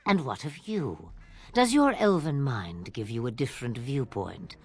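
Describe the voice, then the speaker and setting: A woman's voice: a bass voice, very animated, a little slow, breathy edge, no room sound, exaggerated voice. An actress voicing an old, imperious witch who talks in cryptic, condescending riddles.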